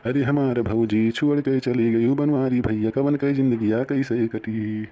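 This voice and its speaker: A man's voice: medium pitch, steady tone, a little slow, dark tone, close to an everyday voice. A man playing a plaintive, fussy younger village neighbour in a cartoon comedy, speaking with an Awadhi accent. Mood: mock grieving.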